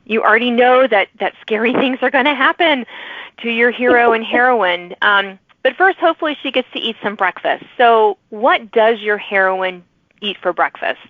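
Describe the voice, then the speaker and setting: A woman's voice: a little high, moderate pace, no room sound. A woman hosting an interview podcast, chatting casually and reacting in short interjections. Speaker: podcast host interviewing authors. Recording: phone-like narrow band, dry.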